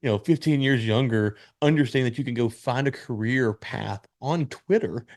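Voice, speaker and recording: A man's voice: mid-range voice, moderate pace, a little mellow, dry recording, clean studio sound. A man in his late thirties hosting a podcast interview, chatting casually and rambling with restarts. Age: 30s. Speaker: podcast host.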